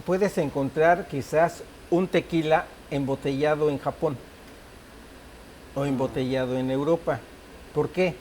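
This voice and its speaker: A Mexican man with a Mexican Spanish accent takes part as a guest on a talk show. He explains things calmly in a fairly high voice, with faint noise underneath. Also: unhurried. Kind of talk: conversational interview.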